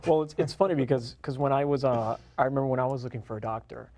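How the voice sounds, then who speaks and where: A man's voice: mid-range voice, quick pace, a little mellow. A man co-hosting an interview show who talks in an enthusiastic, chatty way with lots of fillers. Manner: enthusiastic, chatty, full of fillers like "you know". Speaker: co-host. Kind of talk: interview conversation.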